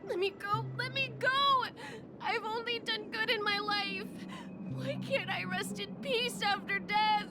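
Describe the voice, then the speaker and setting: A very high, squeaky little game creature, whimpering and panicky. The delivery drags out in melodramatic fear, with loud music behind it. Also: female voice, measured pace. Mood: tearful protest. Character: small hysterical frightened creature, terrified of monsters. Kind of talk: video game character acting.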